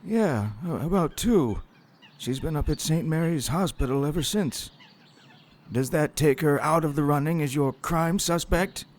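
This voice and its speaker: An actor playing a guarded, grumbling older husband in an audio drama, with a folksy, exasperated manner. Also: male voice, on the high side, measured pace. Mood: weary, incredulous.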